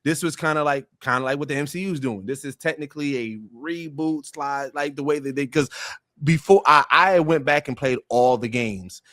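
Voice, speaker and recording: A man's voice: fairly high pitch, moderate pace, a bit bright, no echo, clean studio sound. A man speaking as a podcast panelist, explaining his opinions in an emphatic, colloquial way. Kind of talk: casual pop-culture discussion.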